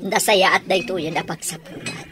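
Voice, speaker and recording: A woman's voice: lowish voice, fairly even intonation, moderate pace, background noise, close to an everyday voice. A woman with an Ilocano accent acting a wistful, prayerful mother in a radio drama. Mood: friendly. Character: wistful, prayerful mother awaiting her absent child.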